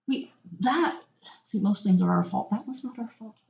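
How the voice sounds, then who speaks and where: A woman's voice: medium pitch, normal speed, creaky, darkish tone. A woman co-hosting a podcast, trading improvised comic banter in a playful, mock-insistent way. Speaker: podcast co-host. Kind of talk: improvised comedy audio adventure, casual banter. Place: echoey room. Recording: echoey room, slightly dull sound.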